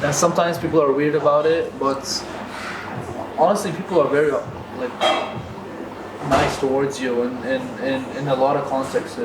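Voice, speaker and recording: A man's voice: medium pitch, flat delivery, normal speed, echoey room, recorded from a distance, heavy background noise. A man from Iran, talking hesitantly as co-host with many fillers and restarts. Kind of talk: casual conversation. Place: cafe.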